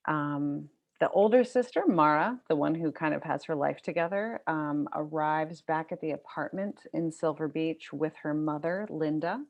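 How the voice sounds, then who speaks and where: A woman with a low, creaky voice reads literary fiction. She is the author of the book, presenting her own prose at a literary event. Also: normal speed, lo-fi sound. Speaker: debut novelist reading from own book.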